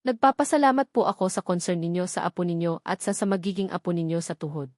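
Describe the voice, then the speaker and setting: A woman's voice: on the low side, fast talker, a little mellow, dry recording, clean studio sound, pitched below the performer's own voice, lightly acted. A woman voicing a wary, firm young pregnant woman in an audiobook romance drama, with earnest resolve. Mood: steady, resolute.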